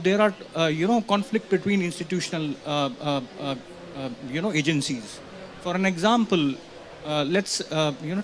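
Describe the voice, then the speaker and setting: A man asks a question at a panel event, haltingly, often padding with "you know". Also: a little high. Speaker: audience questioner. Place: conference pavilion with small audience. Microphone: handheld event microphone.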